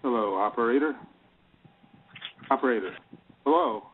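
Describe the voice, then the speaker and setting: A cornered male bank boss in an audio drama played by a man, heard on a tinny line with noise behind it. His voice is mid-pitched, with an exasperated, pleading manner. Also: huge pitch swings, moderate pace. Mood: tense. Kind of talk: audio play acting. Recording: tinny phone-like sound, clear background noise.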